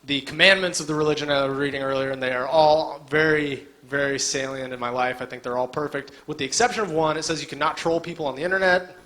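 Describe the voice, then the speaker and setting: A man's voice: on the high side, fairly even intonation, fast talker, hardly any pauses, pushed and bright. A young man doing a live stand-up set on an indoor stage, talking casually and sardonically with lots of filler. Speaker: stand-up comedian.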